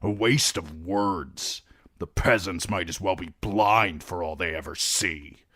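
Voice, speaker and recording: A man's voice: low-pitched, takes it slowly, fairly bright tone, dry recording, clean studio sound, heavily acted. A man voicing a brutish young lord who growls and complains. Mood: sneering.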